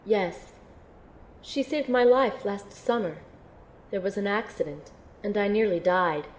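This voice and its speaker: A woman playing a wounded, frightened young woman in an amateur audio drama, speaking haltingly, weary and vulnerable. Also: medium pitch, animated, a little hiss or hum, natural voice, rough recording. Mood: weary, sad. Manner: halting, hesitant delivery. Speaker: voice actor playing narrator and lead character.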